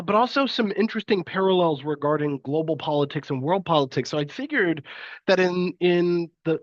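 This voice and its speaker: A man's voice: on the high side, dry recording, dull audio. A man with a cold, sniffly and congested, giving a live-streamed university lecture with frequent fillers and restarts. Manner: hesitant, many fillers and restarts.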